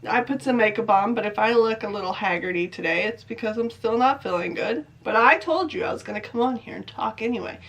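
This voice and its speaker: A woman's voice: fairly high pitch, brisk, hardly any pauses, fairly bright tone. A young adult woman with a stuffy, congested voice, chatting casually and rambling through her thoughts in a diet vlog. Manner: chatty, rambling, self-questioning. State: congested from a cold. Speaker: vlogger.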